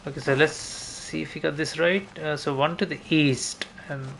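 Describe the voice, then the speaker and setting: Male game streamer, bright tone, casual rambling think-aloud commentary with mild swearing, faint background noise. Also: a little high, steady tone, moderate pace, vocal fry, poor audio. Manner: rambling, self-talking, mildly sweary.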